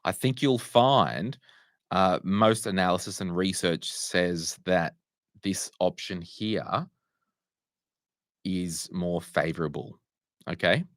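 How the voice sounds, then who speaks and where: A middle-aged Australian man with a croaky voice, hosting a casual Q&A and answering viewers' money questions with asides and restarts. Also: sits low, slow pace, long pauses, dry recording, clean studio sound. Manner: conversational, restarts and asides while fixing tech. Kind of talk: casual livestream Q&A.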